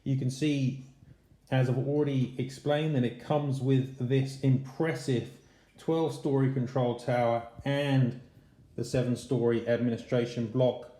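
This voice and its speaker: Man reviewing in an echoey room, mellow voice. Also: mid-range voice, steady tone, measured pace. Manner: conversational, explanatory. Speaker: channel host, model airport reviewer. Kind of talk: casual unboxing review narration. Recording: roomy, echoey indoor sound.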